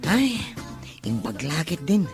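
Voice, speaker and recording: A man's voice: on the high side, big pitch swings, takes it slowly, vocal fry, over loud music, full character voice. A man playing a young student from the provinces in an audio drama. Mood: chatty, friendly. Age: young adult. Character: young provincial male student new to the city. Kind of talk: acted audio drama dialogue.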